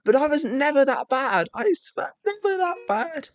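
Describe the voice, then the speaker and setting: A man's voice: big pitch swings, normal speed. Exasperated young man ranting. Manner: exasperated, sarcastic ranting with sudden high-pitched outbursts. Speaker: vlogger. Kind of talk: comedic rant to camera.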